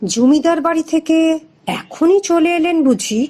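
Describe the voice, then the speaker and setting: A woman's voice: extremely high pitch, animated, measured pace, darkish tone. An actress voicing an anxious, long-suffering old village mother in a fairy-tale cartoon, fretful and pleading.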